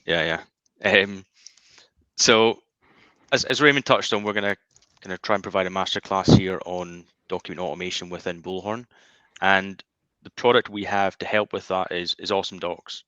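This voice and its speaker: A man with a deep voice and a bright, forceful edge presents a product informally. His pitch stays fairly even, and the call audio is a little dull and lo-fi. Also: normal speed, dry recording. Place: indoor office.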